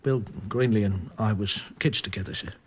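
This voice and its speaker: A man's voice: on the low side, normal speed, breathy edge. A British man playing a deferential village police constable reporting to his superior in a radio drama. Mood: bitter grief. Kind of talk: 1950s radio drama acting.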